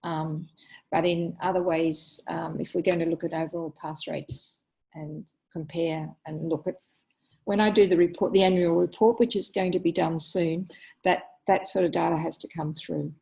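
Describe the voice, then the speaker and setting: Gravelly woman chairing an online meeting. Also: on the low side, steady tone, dark tone, rough recording. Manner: halting, full of ums and restarts. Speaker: meeting coordinator. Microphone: video call.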